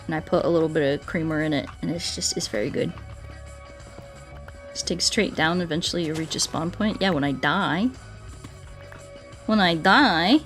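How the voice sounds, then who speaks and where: A woman doing a casual gaming livestream, rambling playfully and thinking out loud as she chats with viewers. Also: low voice, normal speed, pauses often, faint music behind. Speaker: streamer playing Minecraft and chatting with viewers.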